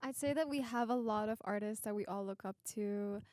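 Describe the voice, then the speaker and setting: A woman's voice: mid-range voice, fairly quick, darkish tone, dry recording. A young woman from the Philippines in a pop group, talking earnestly and enthusiastically in a radio studio interview. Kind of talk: casual interview chat.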